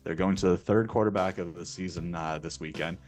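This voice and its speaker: Deep-voiced male sports betting analyst. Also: fairly even intonation, fairly quick, a little mellow. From Canada. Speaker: sports betting oddsmaker, guest. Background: faint music.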